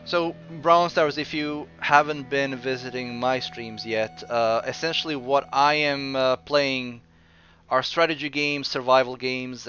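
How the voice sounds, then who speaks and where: A man from Croatia with a mixed Croatian accent, chatting casually while streaming a game and answering viewers, with frequent pauses. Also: mid-range voice, pushed and bright, muffled recording. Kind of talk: casual gameplay commentary.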